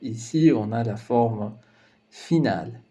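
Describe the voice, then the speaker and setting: Slow, mellow-voiced male teacher. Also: mid-range voice. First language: Persian. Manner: slow, careful, explanatory delivery with hesitation fillers. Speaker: language teacher. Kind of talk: language lesson narration.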